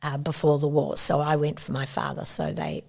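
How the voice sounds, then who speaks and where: A woman's voice: low voice, level tone, vocal fry. A woman, thoughtfully recounting her family's story as an interview guest. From Australia. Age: 70s. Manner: thoughtful, unhurried recollection with warm asides. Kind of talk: reflective personal storytelling.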